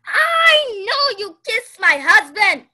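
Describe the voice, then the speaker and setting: A woman's voice: extremely high pitch, big pitch swings, takes it slowly, bright, forceful tone, heavily acted. A young woman acting in a radio play as a jealous, menacing wronged wife, dramatic and regal. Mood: anguished, accusing. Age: young adult. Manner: dramatic, threatening.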